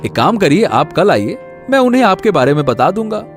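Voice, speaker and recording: A man playing an animated, caring elder brother. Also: high-pitched, brisk, soft background music. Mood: polite. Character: caring elder brother, landlord's son, gently scolding.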